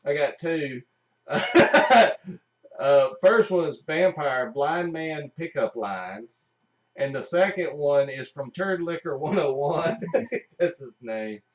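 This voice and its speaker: A man's voice: a little high, animated, slow, recorded from a distance, slight background noise. A man hosting a live stream with casual, jokey banter and storytelling.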